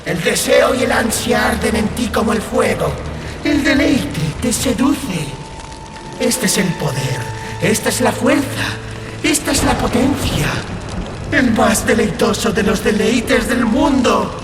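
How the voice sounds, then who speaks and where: A woman's voice: a little high, expressive, a little slow. A woman playing a commanding, seductive sorceress in an audiobook drama, speaking like an imperious incantation. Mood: seductive, exultant. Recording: echoing voice over loud music.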